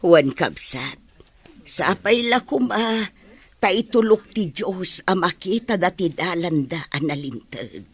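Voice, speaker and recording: A woman playing an aging, worried and pious village mother in an Ilocano radio drama, lamenting over her son in a husky voice. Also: lowish voice, expressive, slow, heavily acted. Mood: resigned, troubled.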